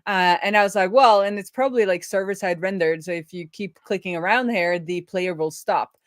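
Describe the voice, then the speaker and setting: A woman hosts a casual live coding stream, thinking aloud in an informal way. Her voice sits at a middling pitch, and the sound is dry, with very little room in it. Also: a little fast, no echo. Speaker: live stream host, web developer.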